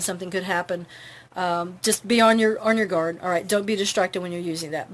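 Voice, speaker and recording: A woman hosting an informal live advice broadcast, instructive and earnest, often checking in with the listener as she explains. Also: mid-range voice. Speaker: host of a live advice broadcast for truck drivers. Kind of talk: informal live tips talk to camera.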